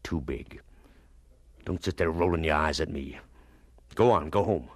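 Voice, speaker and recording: An actor playing a bitter, sarcastic schoolteacher in a radio drama, stern and sneering in a theatrical way. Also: male voice, extremely low pitch, a little slow. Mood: contemptuous. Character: bitter, scornful adult male schoolteacher, sarcastic and domineering.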